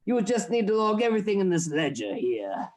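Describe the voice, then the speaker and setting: An actor playing a stern, secretive anatomy lecturer in a radio play, formal and instructing. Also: male voice, high-pitched, very animated, a little fast, darkish tone. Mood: businesslike.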